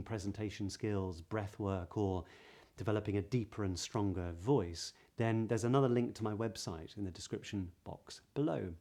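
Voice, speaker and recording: A man with a southern British English accent giving a pronunciation lesson as a voice coach, explaining clearly and modelling sounds. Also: lowish voice, warm tone. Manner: clear, demonstrative, breathy example sounds.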